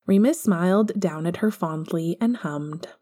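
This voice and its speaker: A woman's voice: lowish voice, a little slow, warm tone. A woman reading scripted fiction as an audiobook narrator.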